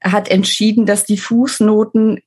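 A woman's voice: medium pitch, level tone, a little slow, darkish tone, slightly rough, soft background music. A woman, an author explaining things conversationally as an interview guest.

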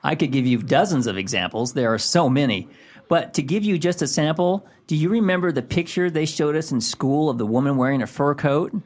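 A man's voice: fairly high pitch, brisk, darkish tone, lightly acted. A man playing a domineering, hot-tempered, insulting suitor in a radio play, with a scolding, mocking, emphatic delivery.

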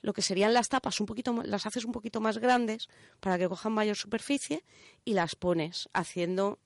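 A woman with an accent from Spain chats in a dry studio sound at an average pitch. She explains in a casual, step-by-step way, tossing in little check-in questions as a radio contributor would. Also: fairly even intonation, normal speed, creaky, no room sound. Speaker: radio show contributor presenting a section.

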